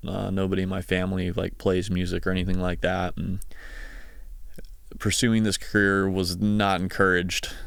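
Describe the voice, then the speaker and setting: A man's voice: deep voice, gravelly, clean tone, no room sound. A man in his thirties talking casually and reflectively on a solo podcast, in a rambling, conversational way. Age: 30s. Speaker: podcast host, session guitarist.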